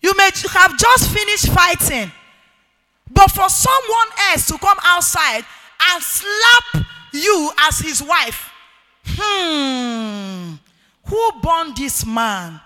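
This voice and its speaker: A middle-aged woman with a Nigerian accent and a loud, forceful voice, preaching a marriage sermon emphatically and repeating key phrases. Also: extremely high pitch, lively intonation, slow pace, soft background music. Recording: echoey hall with long reverb.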